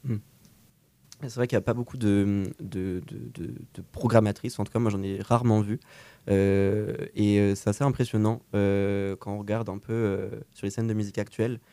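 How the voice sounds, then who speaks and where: A man with a low, soft-edged voice hosts a relaxed interview. His delivery is enthusiastic yet halting, and the sound is dry and close. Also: dry recording. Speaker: interview host. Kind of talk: informal radio-style interview.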